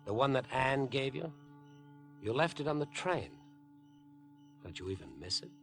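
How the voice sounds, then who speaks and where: A man's voice: medium pitch, animated, moderate pace, gravelly, soft background music. An actor playing a falsely friendly, wheedling mama's-boy murderer in a 1950s radio suspense drama. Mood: coaxing, menacing. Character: smooth, insinuating, grown-up mama's-boy murderer with obsessive friendliness. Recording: noisy vintage 1950s radio broadcast.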